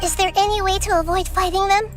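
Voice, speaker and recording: A squeaky little fairy companion, bursting out in very high, quick exclamations. She is chatty and excitable, and her voice rides over steady game music. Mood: curious. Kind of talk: video game dialogue.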